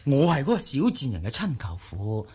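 A man playing a callous, self-important, lecherous older uncle in a Cantonese radio drama, with a raspy, drawling delivery. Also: medium pitch, wildly swooping pitch, normal speed, darkish tone, heavily acted. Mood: callous, conniving.

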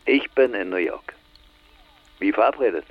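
An actor playing a teasing, enigmatic older man in a radio drama, speaking in a wry, measured, gravelly way through a telephone filter. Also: lowish voice, animated, no echo. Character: teasing, enigmatic older man, long-lost friend calling. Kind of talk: radio drama phone dialogue. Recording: slightly dull, band-limited phone line.

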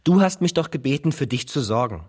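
An actor in a radio drama playing an earnest, troubled nineteen-year-old son who protests. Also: male voice, mid-range voice, lively intonation, a little fast, breathy edge. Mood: anxious protest. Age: young adult.

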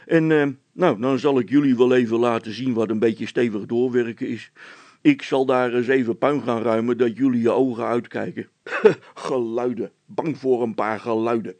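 A man's voice: medium pitch, normal speed, a little mellow, no echo, close to an everyday voice. A man voicing a blustering village policeman who stammers, repeats himself and is secretly scared, in a storybook reading. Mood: boastful bluster.

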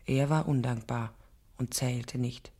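An actress playing a tender, firm German mother in a radio drama, speaking deliberately, like a solemn storyteller. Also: very deep voice, creaky, warm tone, husky, full character voice. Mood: solemn, ominous. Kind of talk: radio drama acting, fairy-tale storytelling. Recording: music behind the voice.

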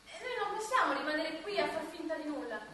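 A woman's voice: extremely high pitch, expressive, fast, bright, forceful tone, heavily acted. An actress playing an insistent, determined woman in a stage-like radio drama. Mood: urgent. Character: insistent, determined woman urging others to investigate. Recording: very echoey, distant, faint noise.

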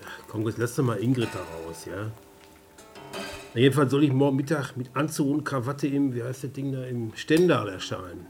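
A man's voice: medium pitch, lively intonation, moderate pace, a little mellow, soft background music, lightly acted. A man playing a down-on-his-luck, stubborn rogue with a Ruhr accent, talking offhandedly in a radio drama. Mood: offhand. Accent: Ruhr area German. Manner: laconic, colloquial, offhand. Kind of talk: German radio drama acting.

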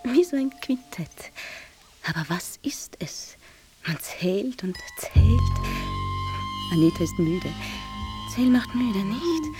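An actress playing a dreamy, flirtatious concertgoer musing in half-whispers in a radio play. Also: female voice, fairly high pitch, sing-song, slow. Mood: dreamy, coquettish. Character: dreamy, flirtatious concertgoer lost in summer memories. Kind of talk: radio play inner monologue. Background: music.

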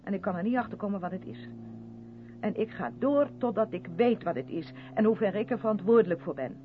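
A narrow, hissy vintage radio recording carries an earnest, defensive woman of the theatre. Her mid-pitched voice moves animatedly as she insists and justifies herself in radio drama style. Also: very animated, brisk. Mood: troubled, pleading. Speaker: radio play actor. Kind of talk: Dutch 1970s radio drama acting.

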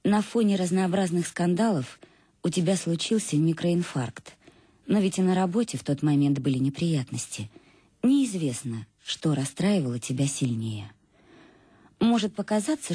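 An actress playing a reflective, embittered middle-aged wife in a radio play, confessing with irony to her absent husband in a husky voice. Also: lowish voice, very animated, moderate pace, dark tone, no echo, studio quality. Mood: bitter, aching. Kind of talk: dramatic first-person monologue in a radio play. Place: dry studio.

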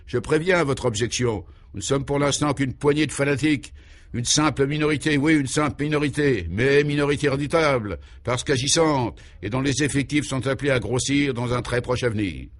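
A man's voice: mid-range voice, fairly quick, natural voice. A man voicing a pompous, fanatical party militant in a comic radio serial, speaking in an emphatic, declamatory style.

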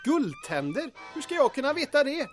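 A man's voice: extremely high pitch, big pitch swings, soft background music. A man playing an apologetic, morbid keeper of corpses in an audio drama, talking defensively. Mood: defensive, sheepish. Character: apologetic, macabre morgue-keeper with morbid humour.

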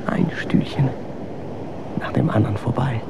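A very gravelly, weary man recites bleak lines slowly and in fragments, often hushed. It is a melancholic radio drama voice, with clear surf-like wind noise around it. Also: medium pitch, steady tone. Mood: bleak, brooding. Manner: slow, fragmentary, repetitive.

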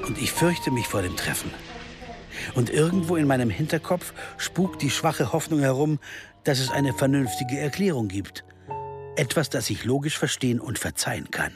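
An actor playing a wry, determined musician turned amateur detective, narrating and speaking in a German radio crime drama. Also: male voice, medium pitch, animated, normal speed, over music, lightly acted. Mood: brooding. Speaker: first-person narrator and protagonist.

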